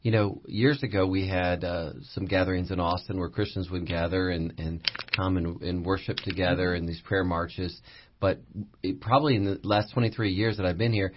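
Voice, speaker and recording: A man's voice: low-pitched, fairly even intonation, moderate pace, a little hiss or hum. A man, a pastor appearing as a guest on a radio talk show, speaking earnestly and with enthusiasm. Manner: earnest, enthusiastic, repeats words. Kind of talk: conversational radio interview. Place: radio studio. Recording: dull radio broadcast.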